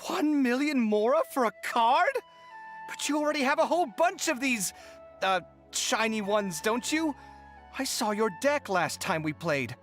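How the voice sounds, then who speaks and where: Dramatic, expressive young genius. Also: male voice, extremely high pitch, a little slow, faint music behind, heavily acted. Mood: flustered, incredulous. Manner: expressive, sighing, hesitant. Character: weary, proud, dramatic young male genius designer. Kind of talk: video game voice acting.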